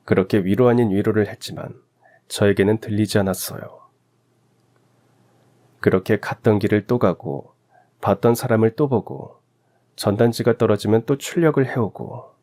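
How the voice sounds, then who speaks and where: A man's voice: on the low side, darkish tone. A man reading a scripted first-person story as a radio narrator.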